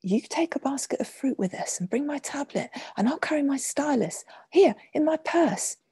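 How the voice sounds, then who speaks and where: A woman voicing an excited, exuberant young Roman mistress with breathy, eager exclamations in a storybook reading. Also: lowish voice, normal speed, no room sound. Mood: excited, bossy. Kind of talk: audiobook character voice.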